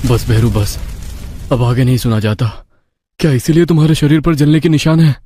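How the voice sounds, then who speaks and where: A man's voice: mid-range voice, little pitch movement, moderate pace, mellow, slightly rough, dry recording, clean studio sound, natural voice. A man voicing a curious, easily frightened writer who is master of the house in a horror cartoon. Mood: moved, brisk.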